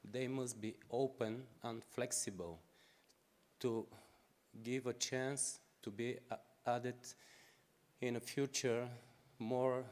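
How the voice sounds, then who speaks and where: Male conference delegate, 40s, Bulgarian accent, very slow halting delivery, mid-range pitch. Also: darkish tone. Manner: halting, non-native phrasing. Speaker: audience questioner. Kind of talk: unscripted question at a conference. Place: conference hall, at lectern. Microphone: lectern microphone.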